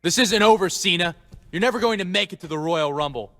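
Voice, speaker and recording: A man's voice: sits high, a little fast, bright, forceful tone, no echo. A man playing a cocky, sneering henchman wrestler in a scripted video game cutscene. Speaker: wrestler character.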